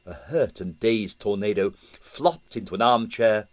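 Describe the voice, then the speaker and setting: A man narrating a comic novel as an audiobook, with dry, expressive storytelling. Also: fairly low pitch, measured pace.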